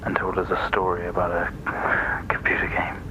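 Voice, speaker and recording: An actor playing a measured, subdued male therapist in a video game, dictating session notes in a creaky, breathy murmur over noise. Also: deep voice, completely flat delivery, lightly acted. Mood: subdued, clinical. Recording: noisy game audio recording.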